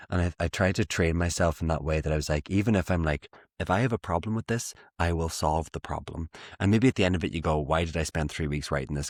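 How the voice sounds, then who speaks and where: An Irish man, a theatre maker, chatting as a guest in an interview, animated and self-mocking with frequent restarts. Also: rumbling low, no echo, clean studio sound. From Ireland. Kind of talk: casual interview chat.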